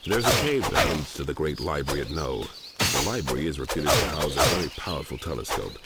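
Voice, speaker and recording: A man playing a gruff, commanding rebel leader in a video game, with a measured, authoritative delivery and a deep gravelly voice. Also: lively intonation. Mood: businesslike. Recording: loud insect and ambience noise behind.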